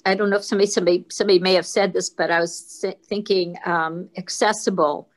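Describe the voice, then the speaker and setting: A woman speaking haltingly and repeating words as she shares a thought in a casual group discussion on a video call. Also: on the low side, fairly even intonation. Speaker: discussion participant.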